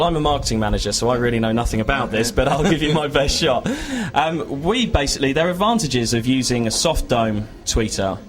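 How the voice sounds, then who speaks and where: A man giving an informal, explanatory product presentation in an interview, with a hesitant and lightly joking manner. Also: mid-range voice.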